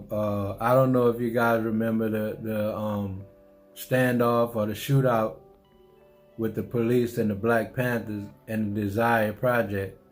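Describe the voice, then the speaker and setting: Low, flat-voiced New Orleans man. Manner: unhurried, musing, repeats himself with restarts. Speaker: vlogger telling his life story. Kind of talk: reflective personal storytelling.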